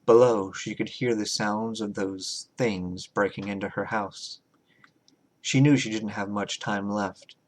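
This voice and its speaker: A man reading his own horror short story as a scripted, dramatic narration, deliberate and measured, with pauses. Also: fairly low pitch, fairly even intonation.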